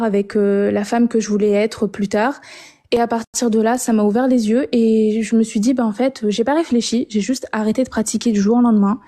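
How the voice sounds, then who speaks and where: A young woman from Algeria calling in to share her story, talking hesitantly with many pauses and restarts. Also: on the high side, warm tone. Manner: hesitant, frequent filler sounds and restarts. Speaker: caller. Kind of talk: personal testimony on a call-in show.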